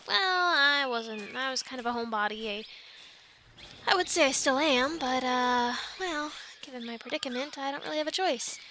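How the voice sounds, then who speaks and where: A woman playing a plucky young island castaway in an ASMR roleplay, chatting in an animated, halting, stammering way. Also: sits high, a little hiss or hum. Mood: awkward, apologetic. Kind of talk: ASMR roleplay, conversational one-sided dialogue.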